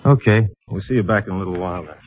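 An actor playing a genial, easygoing detective's friend in 1950s radio drama, casual and polite. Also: male voice, low-pitched, racing, slight background noise, lightly acted. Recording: muffled old radio recording.

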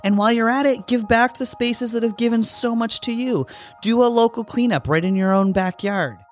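A man's voice: extremely high pitch, brisk, faint music behind. A man hosting a podcast, reading a scripted intro with enthusiasm and then asking a guest questions. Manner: upbeat and enthusiastic. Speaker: podcast host.

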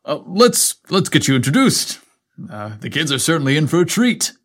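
A man's voice: on the high side, big pitch swings, moderate pace, pitched above the performer's own voice. Man playing a flustered, stammering schoolteacher. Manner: stammering, fumbling over words. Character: flustered, eager male elementary school teacher, gushing politely.

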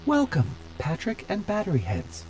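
A man voicing an evil, playful smart-car computer with a high techno voice that chimes and taunts in an audiobook story. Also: wildly swooping pitch, moderate pace, music in the background, low-quality recording. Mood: eerily welcoming. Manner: chiming, eerily playful, menacing.

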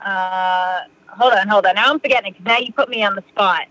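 A middle-aged woman from Massachusetts, a podcast guest rambling through a personal story with many fillers and quick laughs. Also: medium pitch, sharp tone, slight background noise, rough recording. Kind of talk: casual storytelling in an interview.

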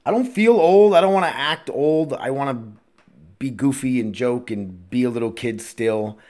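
A man in his late thirties talks through his own podcast alone. His voice sits in an ordinary middle pitch. He wanders from thought to thought, restarts his sentences and drops curse words casually. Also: moderate pace, darkish tone. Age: 30s. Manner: rambling, profane, self-interrupting. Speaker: podcast host.

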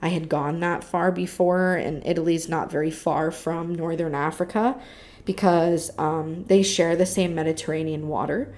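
A young woman from the United States carries a casual podcast chat, talking freely and packing her speech with fillers. Her voice sits low for a woman. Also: steady tone, moderate pace, a little mellow. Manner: chatty, talkative, with many fillers. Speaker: podcast co-host.

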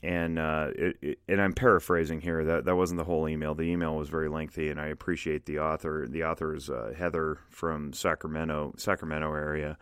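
Male solo podcast host, extremely low and resonant, slightly scratchy from smoke, halting with lots of fillers. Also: level tone, smooth, no room sound, studio quality. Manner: hesitant, many fillers and restarts. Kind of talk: casual solo podcast talk. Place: home studio in the Reno area.

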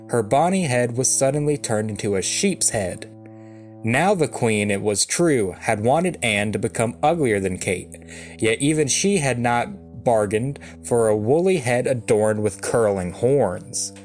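A man reading a fairy tale aloud as an expressive storyteller, with a wry, dry comic touch. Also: mid-range voice. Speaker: storyteller, reader. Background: soft guitar music.

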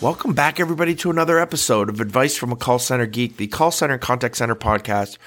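A man's voice: mid-range voice, quick pace, music in the background. A man hosting a podcast in a casual, conversational way, a little nasal from a cold, with frequent fillers and restarts. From United States. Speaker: podcast host.

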